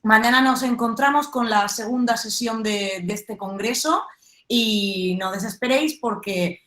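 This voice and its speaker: A woman's voice: on the high side, unhurried, a bit bright. A woman from Spain with a Peninsular Spanish accent, moderating an online academic panel, reading questions and closing the session. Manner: friendly, formal wrap-up with fillers. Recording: echoey room audio.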